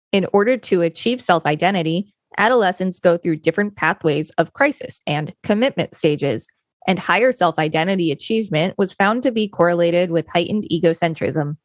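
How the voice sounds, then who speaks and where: A woman co-hosting a podcast, reading an encyclopedia article in a measured, explanatory way. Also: on the low side, no echo. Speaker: podcast co-host. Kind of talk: reading an encyclopedia article aloud with brief chat.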